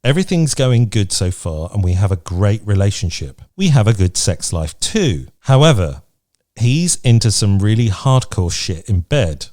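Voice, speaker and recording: Male podcast co-host, low pitch, candid and conversational, casual advice chat. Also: sing-song, moderate pace, darkish tone. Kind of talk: casual advice chat, reading out listener questions. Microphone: remote recording from separate location.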